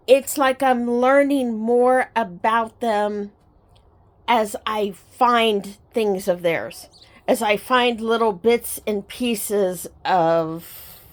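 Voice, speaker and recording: A middle-aged woman sharing personal memories in a casual, reflective, sentimental and halting way, like a vlogger talking to her viewers. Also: fairly high pitch. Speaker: storytelling vlogger. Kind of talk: personal reminiscing to camera, casual chat.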